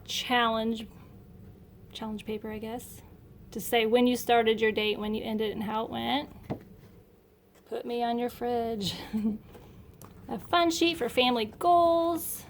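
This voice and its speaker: A woman's voice: fairly high pitch, fairly even intonation, takes it slowly, pauses often, a little hiss or hum. A middle-aged woman narrating a casual home unboxing in a chatty, upbeat, enthusiastic way. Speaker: homeschool vlogger. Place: indoor room at home.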